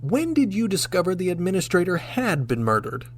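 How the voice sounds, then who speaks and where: A man playing a confident, shrewd starship captain in a sci-fi radio drama, speaking with deliberate, commanding rhetorical pauses. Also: high-pitched, very animated. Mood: persuasive, grave.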